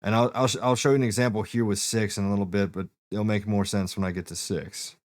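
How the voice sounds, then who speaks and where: Deep-voiced man explaining a setup. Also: steady tone, fast talker, no room sound, clean studio sound. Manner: explains steps plainly, fillers, talks to a friend. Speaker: streamer giving setup help. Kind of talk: casual walkthrough.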